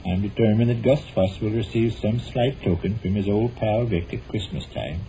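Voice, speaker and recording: Guy acting a stuffy long-suffering husband on old 40s radio, very mellow, formal, bit of hiss. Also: lowish voice, normal speed, natural voice. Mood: stubborn. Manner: pompous, formal, dry. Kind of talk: 1940s radio comedy acting. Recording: muffled, noisy old 1940s radio broadcast.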